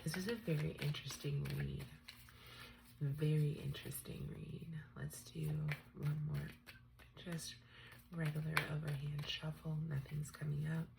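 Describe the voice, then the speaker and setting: A woman with a stuffed-up cold, doing a tarot reading in a soft, often half-whispered, musing way. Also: deep voice, little pitch movement, a little slow, gravelly, noisy background. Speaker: tarot reader. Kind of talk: unscripted tarot reading for viewers.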